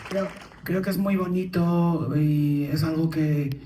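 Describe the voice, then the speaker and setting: A woman from Madrid with a Madrid Spanish accent, answering slowly and thoughtfully on a premiere panel. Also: low voice, a crowd in the background, background noise. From Madrid, Spain. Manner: slow, thoughtful, hesitant. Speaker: actor on a panel. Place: theater stage at a premiere. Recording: echoey theater room.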